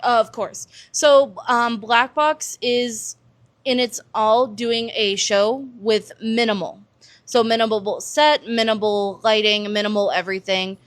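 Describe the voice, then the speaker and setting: A woman's voice: fairly high pitch, fairly even intonation, takes it slowly, dry recording. American woman explaining things in an interview. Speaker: production stage manager, interview guest. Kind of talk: conversational interview answers.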